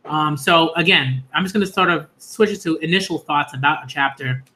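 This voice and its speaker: A man hosting a relaxed live manga reaction stream, his voice sitting a little high and coming out bright and forceful. He rambles loosely, leaning on fillers and "y'all." He gets excitable fast when the story grabs him. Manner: excitable, rising into shouts at story moments. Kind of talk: casual live-stream chat and reading reactions.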